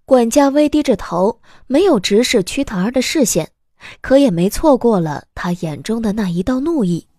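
A woman narrating an audiobook drama in an expressive, scripted storytelling style. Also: a little high, moderate pace, dry recording, clean studio sound.

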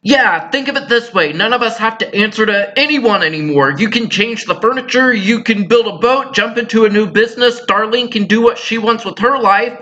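Man putting on an excitable, high-voiced cartoon aunt. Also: a little fast, hardly any pauses, highs cut off. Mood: eager, excited. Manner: stammering, eager, emphatic. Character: excitable, scatterbrained middle-aged woman, supportive sitcom aunt. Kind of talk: amateur cartoon sitcom parody.

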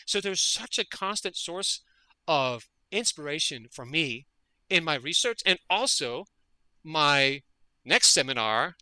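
A man from Denmark speaks as an interview guest, earnest and thoughtful, often repeating a word before going on. His voice is very bright and sits a little high for a man. The sound is close and completely dry. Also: clean tone, no echo, rough recording. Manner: earnest, repeats words while thinking. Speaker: guest, veterinary parasitologist. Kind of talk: conversational interview.

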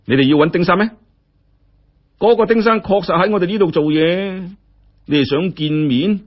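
A man's voice: high-pitched, normal speed, lo-fi sound, voice pushed up. A man with a Hong Kong Cantonese accent voicing a callous, money-grubbing male factory overseer in a told story. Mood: callous, grasping.

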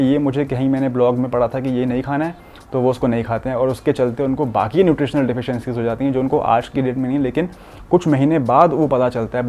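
Young man, doctor explaining to camera, earnest, warm and mellow, seated with a lapel mic in a quiet room. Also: medium pitch. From India. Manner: earnest, explanatory, mixes English medical terms into speech. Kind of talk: explanatory health talk to camera. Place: furnished studio room with curtains, indoors.

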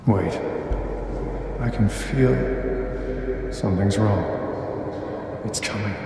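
A man voicing an anguished, haunted spirit in a video game, gravelly and despairing. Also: lowish voice, slow. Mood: dread. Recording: long cavernous reverb over loud ambient noise.

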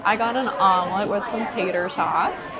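A woman vlog host chatting with excitement, gushing about a show at a diner. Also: mid-range voice, moderate pace, low-quality recording. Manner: gushing, enthusiastic. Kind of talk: excited travel vlog chat. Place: busy diner with live singing and a crowd. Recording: loud music and crowd noise behind. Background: loud singing and diner chatter.

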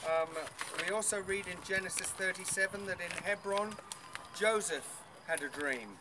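A man's voice: high voice, normal speed. A middle-aged man leading a group tour outdoors, chatty with many ums and quizzing his listeners. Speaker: tour guide. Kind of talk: outdoor guided tour talk. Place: outdoors by an old stone wall. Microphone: far camera. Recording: noisy outdoor recording.

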